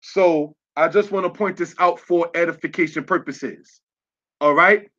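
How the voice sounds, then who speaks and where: High-voiced man with lo-fi audio. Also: unhurried, pushed and bright. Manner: spells words out letter by letter, repeated "all right?" check-ins. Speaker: religious teacher. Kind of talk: slide-based online lesson. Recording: dull lo-fi audio.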